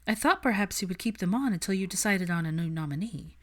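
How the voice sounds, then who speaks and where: A woman's voice: mid-range voice, animated, fast, natural voice. A woman voicing a determined, frank young witch in an audiobook-style story, articulate and earnest, with a teasing edge. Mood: earnest, businesslike.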